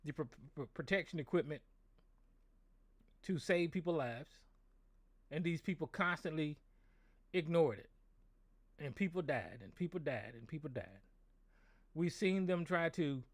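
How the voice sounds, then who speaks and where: Hoarse American man, middle-aged, fairly high voice, close and dry, angry profane rant, repeats himself. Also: dry recording. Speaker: show host. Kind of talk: political rant on a call-in show.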